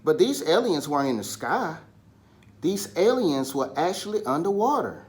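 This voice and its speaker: A man telling a children's story in an animated style, with dramatic pauses and drawn-out, emphatic words. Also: on the high side. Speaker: storybook reader.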